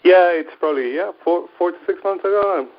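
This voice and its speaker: A man's voice: high-pitched, quick pace, muffled recording. Man, brief replies, off the mic. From Venezuela. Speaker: drummer, interview guest.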